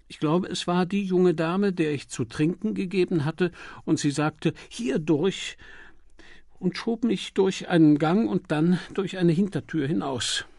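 A man's voice: a little high, creaky, darkish tone, no room sound, studio quality. A man voicing a timid, prim and apologetic male witness in an audiobook, earnest and easily flustered. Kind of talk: audiobook character dialogue.

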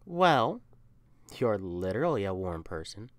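A woman plays a protective, loving young catperson in an ASMR-style roleplay. The voice sits low and moves expressively up and down, recorded close and dry. The delivery is tender and coaxing, with emphatic reassurance for a partner. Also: sing-song, measured pace, no echo, exaggerated voice. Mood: fond.

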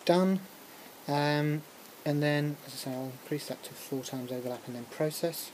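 Informal tutorial lecturer, mid-pitched, halting and self-interrupting, muttering asides, noisy recording. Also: a little mellow. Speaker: music technology lecturer. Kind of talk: informal software tutorial, talking through a demonstration. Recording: clearly noisy recording with room ambience.

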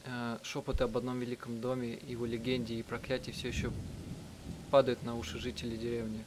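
A man reading out a live translation of a visual novel in a halting, self-correcting way. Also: mid-range voice, completely flat delivery, noisy background. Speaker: let's player reading out translations. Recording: music behind the voice. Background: game music.

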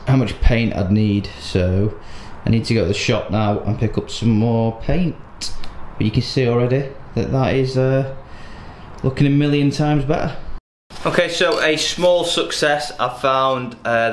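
A man in his thirties, chatting casually through his plans with lots of fillers, filmed on a handheld camera in his garage gym. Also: mid-range voice. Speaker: vlogger. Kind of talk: casual vlog narration. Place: garage home gym, indoors. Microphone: handheld camera at arm's length. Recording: echoey garage with faint hum.

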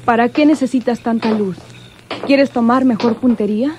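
A woman's voice: a little high, normal speed, background noise, natural voice. An actress playing a defiant, anguished woman in a melodramatic radio drama. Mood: guarded. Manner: melodramatic, emotional. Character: defiant, anguished woman facing her sister's killer.

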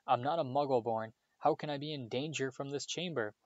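A man's voice: medium pitch, fairly quick, no room sound, voice pushed up, lightly acted. A man voicing a determined young schoolboy wizard who presses with urgent questions. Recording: slightly dull dry recording.

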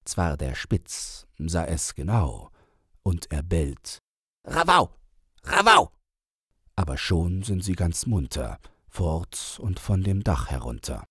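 A man with a very deep, husky voice reads rhymed verse for an audiobook. His delivery is deliberate and theatrical, with wide swings of intonation. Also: expressive, dark tone, no room sound, studio quality. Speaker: audiobook narrator. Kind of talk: expressive reading of a rhymed children's story.

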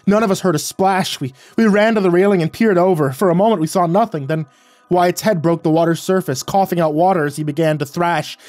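A man narrating a first-person horror story in a tense, halting way with stammered restarts. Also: fairly high pitch, a little mellow, no room sound. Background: faint music.

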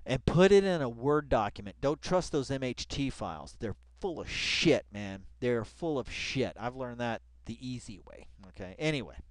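A man reading along with an article and commenting casually, rambling and excitable, repeating phrases for emphasis and swearing freely. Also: medium pitch, moderate pace, hardly any pauses, no room sound. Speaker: video commentator.

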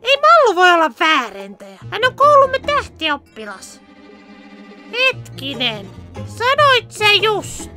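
A woman's voice: very high-pitched, wildly swooping pitch, over music, full character voice. A woman voicing a prim, skeptical teenage ninja girl in an anime parody dub.

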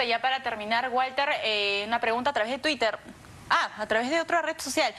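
A woman's voice: fairly high pitch, bright, forceful tone. A young woman with a Peruvian accent hosting a TV segment, asking questions and reading out viewer queries conversationally. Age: young adult. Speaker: TV news host.

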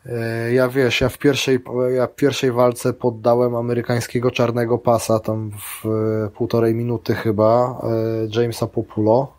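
A man's voice: mid-range voice, monotone, moderate pace, hardly any pauses, a little mellow. A middle-aged man, a grappling athlete and coach, talking in a casual interview with many restarts and fillers.

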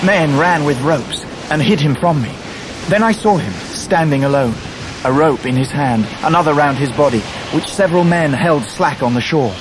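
An actor playing an earnest grown gentleman who narrates his own story in a radio play, with an English accent and measured, vivid delivery. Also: a little high, lightly acted. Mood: vivid, urgent. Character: grown David Copperfield, earnest grieving male narrator. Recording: noisy surf and wind behind voice.

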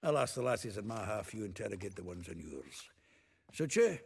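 Gravelly Scottish dwarf. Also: male voice, on the low side, sing-song, fairly quick, heavily acted. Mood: brisk, businesslike. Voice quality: raspy, gravelly. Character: gruff, foul-mouthed, good-natured male dwarf. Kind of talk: video game dialogue.

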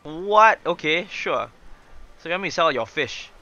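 A young man doing excitable, chatty live game commentary, exclaiming and reading dialogue aloud. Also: on the high side, moderate pace, muffled recording. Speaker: gaming streamer.

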